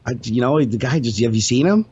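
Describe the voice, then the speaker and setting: A man trades casual jokes as a stream guest. He talks quickly, with a lively, varied pitch. Faint noise and clicking sit behind his voice. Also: highs cut off. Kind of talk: casual, joking banter. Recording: clear background noise, clicks.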